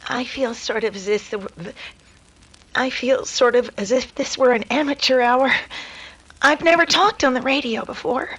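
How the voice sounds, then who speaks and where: An earnest young lady of old radio drama, played by a woman, speaking high with a slightly husky edge. Her delivery is polite and dramatic in the 1930s manner. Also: normal speed, a bit bright, rough recording. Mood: nervous, earnest. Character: nervous, polite young lady, reenacting her defiant ancestress.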